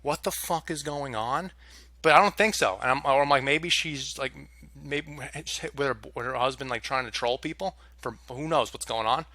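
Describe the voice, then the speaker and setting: A man's voice: medium pitch, moderate pace, bright, forceful tone, dry recording. A young man telling a story to camera in a casual, exasperated rant with plenty of swearing. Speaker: vlogger.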